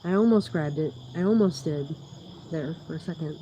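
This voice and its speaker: A woman's voice: deep voice, moderate pace, dark tone, slight background noise. A woman hosting a livestream in casual, rambling chat, with a soothing voice, laughing often and losing her train of thought. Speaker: YouTube livestream host.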